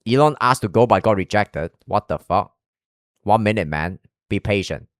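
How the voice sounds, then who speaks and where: A man's voice: on the low side, animated, moderate pace, no room sound, clean studio sound. A young man from Malaysia with a Malaysian English accent, reacting to a video on stream in a rambling, casual way with frequent swearing and restarts.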